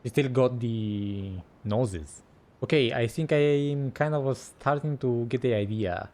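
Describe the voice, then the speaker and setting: A man's voice: medium pitch, takes it slowly, darkish tone. A man giving casual, laid-back reactions as he plays a game, with game music behind him. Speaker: gaming streamer. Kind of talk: casual game playthrough reaction, reading along. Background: faint game music.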